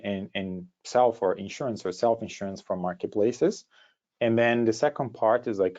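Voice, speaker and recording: Low, mellow Brazilian man, dull audio. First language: Portuguese. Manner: halting, repeats words as they go. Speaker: startup co-founder giving a presentation. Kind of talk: informal webinar presentation. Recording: dull, lo-fi online call audio.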